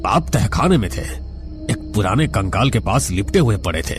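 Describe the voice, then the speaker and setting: Guy doing matter of fact official, formal n measured, horror story, kinda low voice. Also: very animated, faint music behind. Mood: grave, puzzled. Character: matter-of-fact male official briefing a patient.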